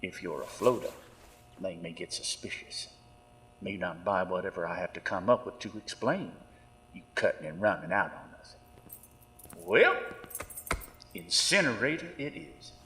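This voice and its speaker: Drawling, sadistic sheriff. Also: male voice, sits low, animated, moderate pace, long pauses, pushed and bright, slight background noise, full character voice. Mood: quiet, scheming. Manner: drawling, taunting, theatrical. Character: sadistic cannibal killer sheriff, a man, smugly menacing. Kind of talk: horror audio drama acting. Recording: long hall reverb, music underneath.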